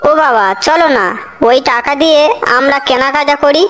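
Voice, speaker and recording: A woman's voice: high-pitched, moderate pace, fairly bright tone. A woman voicing an eager, kind-hearted village schoolboy in a cartoon, with an earnest, childlike manner. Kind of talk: Bengali cartoon voice acting.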